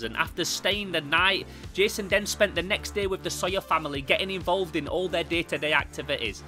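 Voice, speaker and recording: A man's voice: high-pitched, sharp tone. A young man hosting an enthusiastic comic review, narrating in an emphatic, sweary way. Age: young adult.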